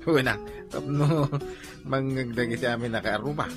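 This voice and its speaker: A man's voice: mid-range voice, a little slow, music in the background, natural voice. A man acting a boisterous, unrepentant drinking and singing neighbour in an Ilocano-accented radio comedy drama, exclaiming and arguing. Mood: defiant. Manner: loud, exclaiming, argumentative.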